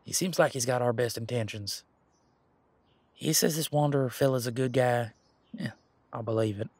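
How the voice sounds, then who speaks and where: A man's voice: medium pitch, moderate pace, a little mellow, dry recording, natural voice. A man playing an easygoing, protective werewolf in an audio roleplay, talking in an informal, frank and chatty way. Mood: casual, frank. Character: easygoing, protective male werewolf, imposing but responsible. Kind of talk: casual conversational audio roleplay monologue.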